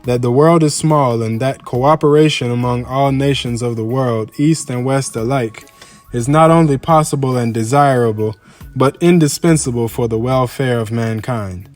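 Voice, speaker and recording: A man reads a formal address aloud in a solemn, measured, oratorical manner. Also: mid-range voice, darkish tone. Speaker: reader of a historical speech. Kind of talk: formal speech read aloud. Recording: music under the voice. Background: background music.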